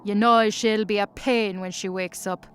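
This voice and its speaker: A woman voicing a scary, gruff warrior in an audio drama, blunt and threatening in every deliberate phrase. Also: medium pitch, steady tone, soft background music, lightly acted. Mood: stern warning. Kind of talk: audio drama character acting.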